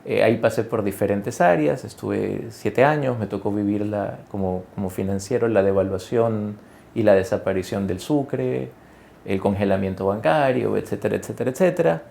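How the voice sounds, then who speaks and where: A man from Ecuador in his forties, talking through his career in a relaxed interview, with lots of fillers, restarts and tag questions. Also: lowish voice, moderate pace, vocal fry. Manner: conversational, many fillers and self-corrections, tag questions. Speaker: guest executive. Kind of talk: interview conversation.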